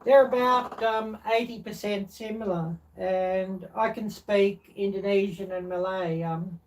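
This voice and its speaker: A middle-aged woman hosts a casual cooking live stream. She talks very slowly in a medium-pitched voice, rambling and pausing to find her words. The sound is picked up from a distance. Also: fairly even intonation. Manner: halting, rambling, searching for words. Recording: distant mic, clear background noise.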